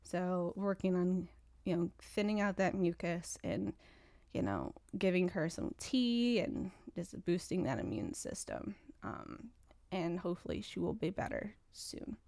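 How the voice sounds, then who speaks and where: A woman's voice: on the low side, very animated, measured pace, vocal fry, a little mellow, dry recording. A woman hosting her own podcast in casual, rambling chat, sounding stuffy and congested. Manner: rambling, chatty, frequent restarts. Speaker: podcast host.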